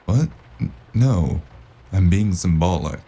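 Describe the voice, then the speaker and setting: A man playing a weary, sardonic noir investigator in an audio drama, gravelly and deadpan. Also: low voice, takes it slowly, soft background music, full character voice. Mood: deadpan, irritated. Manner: dry, deadpan. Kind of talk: noir audio drama acting and first-person narration.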